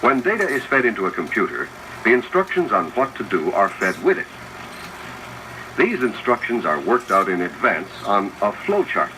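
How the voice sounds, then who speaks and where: An old film soundtrack with clear projector noise carries a man's low voice. He narrates in the instructive manner of a 1970s classroom film, with pitch that rises and falls in a lively way as he addresses the viewer. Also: normal speed, rough recording. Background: mechanical projector noise.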